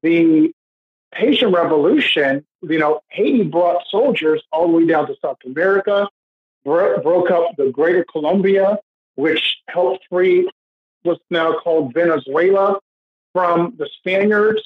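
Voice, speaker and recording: A man speaking as a podcast guest in unscripted discussion, halting and hesitant, often repeating words. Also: sits high, big pitch swings, slightly rough. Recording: dull lo-fi audio.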